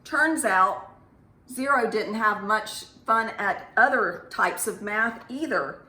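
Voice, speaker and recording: A woman's voice: medium pitch, slow pace, pushed and bright. A woman teaching young children in an encouraging way, with simple phrasing and questions, as in a math lesson or storytime. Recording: echoey room.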